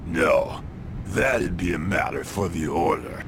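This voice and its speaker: An actor playing a gruff, hostile small-town constable in a video game, curt and menacing, with rustic phrasing. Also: male voice, sits low, slow, noisy background. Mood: curt, evasive.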